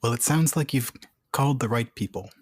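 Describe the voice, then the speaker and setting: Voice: mid-range voice, brisk, breathy edge, dry recording, studio quality. A player roleplaying in a tabletop game, stammering and exasperated. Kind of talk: in-character roleplay.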